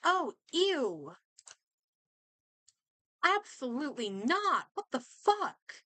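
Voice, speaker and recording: A woman streamer gives casual, unhurried game commentary, thinking aloud as she goes. Her voice is bright and a little high, swinging widely in pitch with an expressive, chatty delivery. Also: dry recording.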